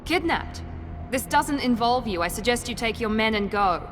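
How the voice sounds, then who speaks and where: An actress playing a self-assured, guarded operative and protective sister in a video game, with a cool, commanding manner. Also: female voice, fairly high pitch, animated, normal speed, a little hiss or hum, natural voice. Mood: cold defiance. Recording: long reverb, music behind.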